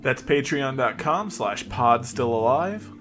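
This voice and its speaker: A man's voice: mid-range voice, animated, takes it slowly, a bit bright, faint music behind. A man speaking as a podcast host, giving an informal, upbeat promo to his listeners.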